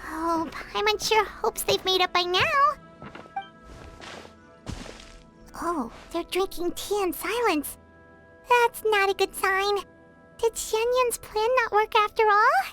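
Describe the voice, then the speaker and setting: Tiny chirpy game fairy girl; squeaky, very high, piercingly bright. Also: fairly even intonation, unhurried, soft background music. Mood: anxious, curious. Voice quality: squeaky, childlike. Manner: speaks of self in third person. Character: small, chirpy, childlike floating fairy companion girl. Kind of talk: video game voice acting.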